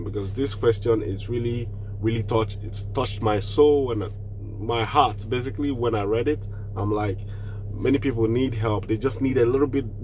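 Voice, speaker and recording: A man's voice: medium pitch, a little mellow, a little hiss or hum, rough recording. A man from Nigeria with a cold, giving earnest, casual advice on online business straight to the camera and often restarting his phrases. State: has a cold.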